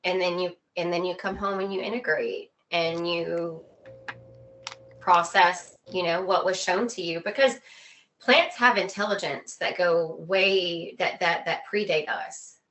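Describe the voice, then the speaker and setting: Low-voiced woman explaining earnestly. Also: fairly even intonation, a bit bright, poor audio. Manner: earnest, halting, repeats phrases for emphasis. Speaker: interview guest. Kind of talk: casual conversational explanation.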